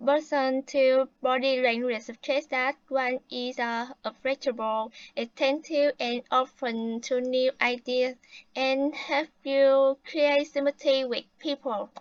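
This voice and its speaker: A woman's voice: high voice, steady tone, takes it slowly, no echo, dull audio. A young woman with a Vietnamese accent, playing a polite talk-show guest in a class role-play. She recites rehearsed answers with hesitant fillers. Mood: earnest. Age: late teens. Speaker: student playing a guest from Thailand.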